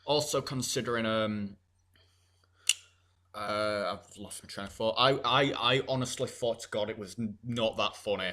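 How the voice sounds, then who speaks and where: A man's voice: lowish voice, normal speed, bright, forceful tone. A teenage boy, co-hosting a podcast in casual chat, blunt and rambling with plenty of swearing. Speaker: podcast co-host.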